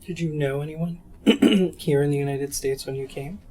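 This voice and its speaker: A man's voice: a little high, normal speed, warm tone. A man opening an oral history interview formally and asking plain questions. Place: community center room.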